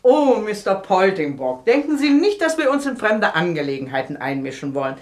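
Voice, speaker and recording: A woman's voice: medium pitch, big pitch swings, fairly quick, darkish tone, lightly acted. An actress playing a relentlessly cheerful, preachy and patronising society charity lady in a German radio comedy. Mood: saccharine, preachy. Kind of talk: German radio comedy crime drama acting.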